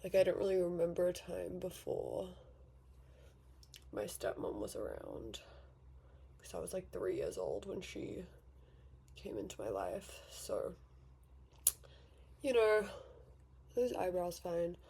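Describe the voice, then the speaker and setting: Chatty young Australian woman, husky. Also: fairly low pitch, big pitch swings, takes it slowly, long pauses, mellow. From Victoria, Australia. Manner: chatty, rambling, self-deprecating. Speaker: vlogger. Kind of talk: casual get-ready-with-me chat. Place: bedroom vanity at home.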